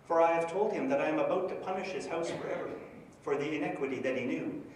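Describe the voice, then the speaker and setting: A man's voice: on the high side, fast, background noise. Middle-aged Canadian man in an echoey church. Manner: hesitant, with many fillers. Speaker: minister presenting a graduate project. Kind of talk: church presentation with scripture reading. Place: church sanctuary before a projector screen. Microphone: handheld microphone. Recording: echoey church from a far camera.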